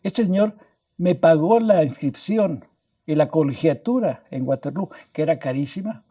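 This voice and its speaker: An older man with a Mexican accent tells stories as a guest on an interview podcast. His voice sits a bit high but sounds deeply mellow and dark. He rambles, repeats himself, and tags his sentences with little questions. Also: expressive, moderate pace. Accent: Mexican Spanish. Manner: rambling, restarts words, adds tag questions. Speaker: guest scientist. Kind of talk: anecdotal storytelling in a podcast interview.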